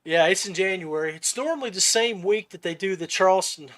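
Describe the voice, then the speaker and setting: A man with a fairly high voice hosts a relaxed fishing-style podcast conversation. He talks in a halting way, doubling back and restarting phrases as he chats with his guest. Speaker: podcast host. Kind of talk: casual chat interview.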